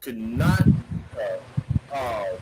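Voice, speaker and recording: A man co-hosting a sports podcast, talking in a casual, animated way and often restarting his phrases. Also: mid-range voice, extremely slow, heavy background noise.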